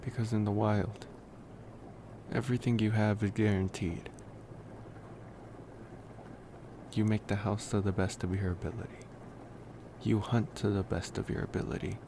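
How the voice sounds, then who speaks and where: A man playing a reflective, gentle young college vampire in an ASMR roleplay, musing softly and intimately to the listener. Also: lowish voice, lightly acted. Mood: wistful, pondering. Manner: musing, rambling, with pauses and sighs. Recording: noticeable steady background noise.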